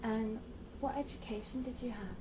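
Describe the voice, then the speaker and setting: A woman conducting an oral history interview, asking gentle, hesitant questions with frequent ums. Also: on the high side, fairly even intonation, normal speed, slightly rough, recorded from a distance.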